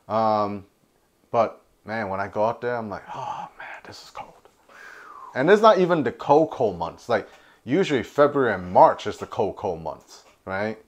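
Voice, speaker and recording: A man's voice: lowish voice, sing-song, normal speed. A middle-aged American man from New York City chatting casually, slangy and given to repeating himself. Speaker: guest. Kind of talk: casual chat. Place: small home office indoors. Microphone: clip-on lapel mic.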